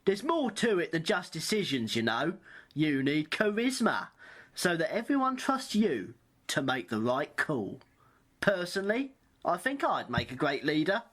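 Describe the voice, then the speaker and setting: A woman plays a defiant, touchy woman in a radio play. Her voice is on the low side, with lively swings of indignation and a combative edge. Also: measured pace, exaggerated voice. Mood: challenging. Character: defiant, huffy woman quick to take offence. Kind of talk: amateur radio play acting.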